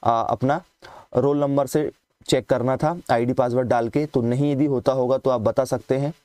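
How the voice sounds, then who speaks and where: A man's voice: medium pitch, fairly even intonation, moderate pace, dark tone, no room sound. A man from India, a coaching teacher, explaining admission fees to students, often asking them a question and then answering it himself. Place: voice-over on scanned documents.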